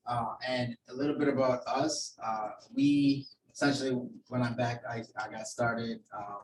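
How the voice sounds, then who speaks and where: A man's voice: medium pitch, fairly even intonation, normal speed. A man from Ethiopia introducing his photography business, hesitant, with many fillers. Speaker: guest photographer. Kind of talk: informal self-introduction and pitch. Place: office meeting room. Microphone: room camera mic from a distance. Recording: echoey room, distant mic, faint hum.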